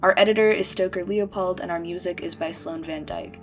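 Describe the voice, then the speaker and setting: A woman's voice: lowish voice, fairly even intonation, a little fast, soft background music. A woman announcer giving a scripted read of closing credits.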